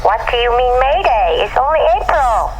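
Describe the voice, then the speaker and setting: A man's voice: very high voice, very animated, moderate pace, a little hiss or hum, voice pushed up, low-quality recording. A man voicing a puzzled, questioning walkie-talkie contact in a comic skit. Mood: baffled. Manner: questioning, baffled.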